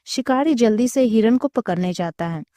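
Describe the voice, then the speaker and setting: Female storyteller for kids' tales, soft dark tone, very dry close recording. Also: fairly high pitch, animated, moderate pace, no echo. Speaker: children's storyteller. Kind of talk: scripted story narration.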